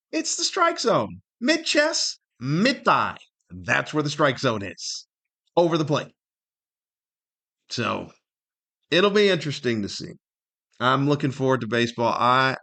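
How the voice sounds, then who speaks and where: A man's voice: medium pitch, sharp tone. Grumbling middle-aged male podcast host. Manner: grumbling, incredulous rhetorical questions. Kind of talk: humorous opinionated solo monologue.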